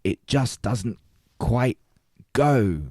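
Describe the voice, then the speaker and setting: A man's voice: medium pitch, lively intonation, drawn-out words, a little mellow, no echo, studio quality. A man hosting a casual film discussion, thoughtful and hesitant, repeating words as he builds his points. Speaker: podcast host.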